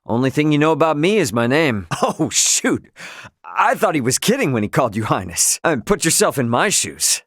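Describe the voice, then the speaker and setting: Young cocky space captain for a video game, slangy and wry, laid back but stubborn, voice bounces around a lot, dry studio sound. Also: male voice, a little high, big pitch swings, moderate pace, a bit bright, no room sound, full character voice. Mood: casual, curious. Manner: casual slangy, wry, loosely drawled asides. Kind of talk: English video game voice acting.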